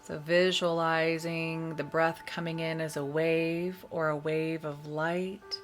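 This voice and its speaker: A woman leading a guided meditation, giving soothing, measured instructions with long pauses between phrases. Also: sits low, flat delivery. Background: meditation music.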